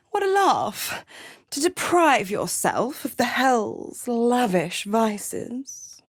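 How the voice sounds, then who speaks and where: A seductive she-devil for a video game, scheming and smug. She takes her time over each phrase, swooping expressively through her pitch as she taunts and condescends with silky, mocking sweetness. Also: big pitch swings, drawn-out words, slightly rough, exaggerated voice. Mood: gloating. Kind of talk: scripted video game character acting.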